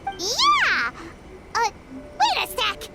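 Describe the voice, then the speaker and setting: A woman voicing a tiny childlike fairy companion in a video game, squeaky, excitable and blunt. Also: very slow, very noisy, exaggerated voice. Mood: excited.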